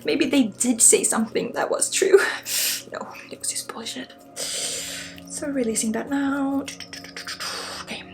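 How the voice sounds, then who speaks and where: A native Swedish woman guides a spiritual ASMR session with a fairly high, creaky voice. She drifts between soft speech and whispering, blunt and casual with halting asides. Music plays clearly behind her. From Sweden. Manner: slips often between soft voice and breathy whisper. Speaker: spiritual healer and ASMR host. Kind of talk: ASMR energy healing, intimate guided talk.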